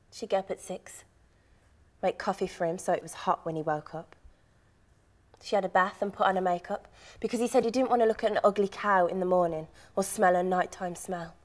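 A woman's voice: medium pitch, fairly even intonation, moderate pace, pauses often, close to an everyday voice. A woman acting a bitter woman who recalls cruelty in a dramatic monologue, restrained with bitter emphasis. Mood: quiet, wounded.

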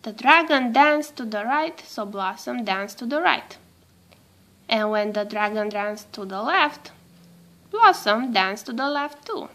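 High-voiced woman reading a children's story slowly. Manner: expressive, measured storytelling for children. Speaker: storybook reader. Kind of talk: read-aloud children's storytelling.

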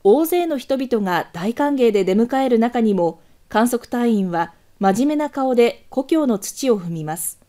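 A woman narrates a scripted news documentary. Her voice sits fairly high yet has a very mellow, dark timbre. The delivery is formal and measured, as a prepared read. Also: expressive.

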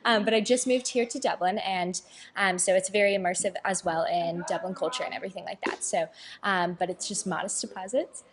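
A woman's voice: medium pitch, steady tone, fairly quick. A young American woman, a blogger, introducing herself hesitantly with many ums at a busy indoor meetup. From United States. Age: young adult. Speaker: guest blogger. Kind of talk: casual interview. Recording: noisy crowded room. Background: crowd chatter.

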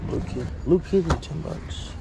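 A man's voice: a little high, normal speed, gravelly. A man vlogging as he shops, chatting casually and politely with vendors and often repeating prices back. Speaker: vlogger shopping at a flea market. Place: outdoor flea market. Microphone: action camera worn by the speaker. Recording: noisy outdoor street market. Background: loud market and street noise.

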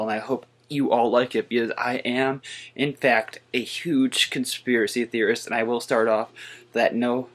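Soft-spoken young man rambling on webcam. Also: medium pitch. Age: 20s. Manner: soft-spoken, rambling with many fillers and restarts. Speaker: vlogger. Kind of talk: casual webcam monologue. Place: small bedroom indoors. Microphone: built-in webcam mic.

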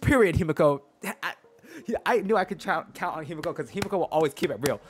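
A man's voice: fairly high pitch, fast talker. Sassy young male streamer, casual and slangy. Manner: sassy, slangy, animated reacting. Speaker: game streamer. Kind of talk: casual livestream reaction commentary. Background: game music.

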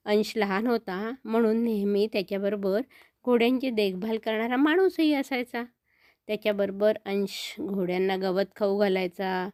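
A woman's voice: mid-range voice, darkish tone, dry recording, studio quality. A woman storyteller narrating for children, explaining things simply and speaking directly to young listeners.

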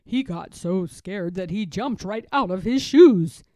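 A woman storyteller reading a children's picture book aloud in a dramatic, expressive way with suspenseful pauses. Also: mid-range voice, dry recording, clean studio sound.

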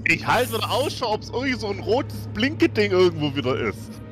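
A man's voice: high voice, very animated, fairly quick. A man playing a tabletop role-playing game, talking casually and partly in character. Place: online group call, indoors at home. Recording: music under the voice. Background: music.

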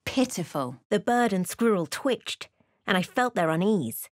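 A woman voicing an observant adventuress in a fantasy video game, with measured, literate, dramatic delivery and wry asides. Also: medium pitch, very animated, dry recording, clean studio sound. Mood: dry, wry. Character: observant young adventuress, a fantasy game companion recounting her journey. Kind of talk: video game voice lines, dramatic narration and remarks.

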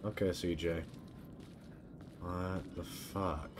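Male let's-player, very low voice, muttering and swearing casually, game noise behind. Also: steady tone, very slow. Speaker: game streamer. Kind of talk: casual let's play commentary. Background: video game ambience.

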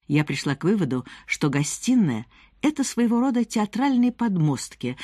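A woman narrating a nonfiction audiobook, reading from a script with measured, articulate phrasing. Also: low-pitched, warm tone, no echo, studio quality.

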